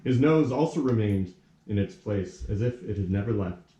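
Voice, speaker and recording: Mellow male storyteller in an echoey room. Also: fairly low pitch, expressive, lo-fi sound. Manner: formal, measured storytelling. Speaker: narrator. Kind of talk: scripted storybook narration for puppet theatre.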